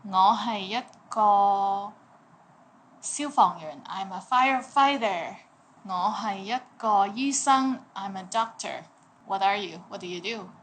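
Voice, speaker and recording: A woman's voice: medium pitch, slow. Young woman teacher, casual and jokey, light room noise. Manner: playful, jokey, swings between loud and quiet. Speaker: language teacher. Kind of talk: casual language lesson.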